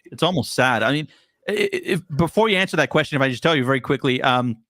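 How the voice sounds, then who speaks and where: A man's voice: mid-range voice, expressive, quick pace, a bit bright, no echo, clean studio sound. A man originally from Poland, a guest on a casual podcast, talking earnestly and emphatically, often repeating words as he starts a thought.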